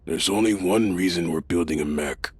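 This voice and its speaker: A man playing a weary, stubborn archbishop in an audio drama, speaking in a dry, deliberate way with a gravelly, husky voice. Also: deep voice. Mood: resigned, deadpan. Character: weary, stubborn male archbishop leading a secret mech project.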